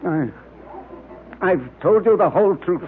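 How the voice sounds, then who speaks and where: A man's voice: high voice, expressive, unhurried. An actor playing a nervous, evasive man with a secret, hesitant and defensive, in old-time radio drama style. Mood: shaken, defensive. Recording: tinny, hissy old-time radio recording.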